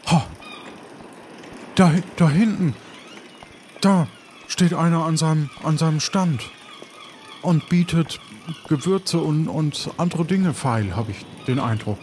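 Man playing a mellow, rambling pirate adventurer. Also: fairly high pitch, slow, long pauses, slight background noise. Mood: hesitant, polite. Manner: hesitant, rambling, repeating words. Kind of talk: improvised adventure audio play.

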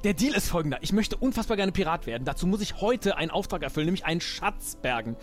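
A man playing an eager, brash would-be pirate in an improvised audio drama, chatty, excitable and fumbling. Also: sits high, fast, faint music behind. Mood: eager bargaining.

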